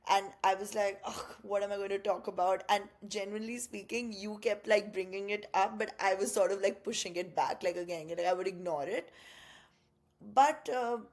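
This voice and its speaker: A woman's voice: medium pitch, normal speed, darkish tone. A young woman from India co-hosting a podcast, talking in a reflective, rambling way with many fillers. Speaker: podcast co-host. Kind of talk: casual chat.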